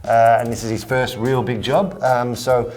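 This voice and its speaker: A man's voice: medium pitch, flat delivery, normal speed, darkish tone, faint music behind. Stuffy-nosed man, laid-back. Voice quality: nasal, blocked up. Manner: easygoing, lots of fillers and agreement. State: congested. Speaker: co-host. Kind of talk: casual football chat.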